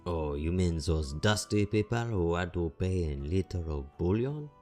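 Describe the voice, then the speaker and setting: A man playing a playful goblin chef with a French accent, teasing and relaxed, in a fantasy audiobook. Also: low voice, a little slow, soft background music. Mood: casual. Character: playful male goblin chef with pointed fangs. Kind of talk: audiobook character acting.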